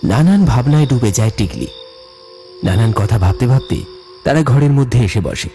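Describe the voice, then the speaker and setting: A man narrating a cartoon ghost story in a hushed, suspenseful way. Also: medium pitch, animated, normal speed, soft background music. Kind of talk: scripted horror story narration.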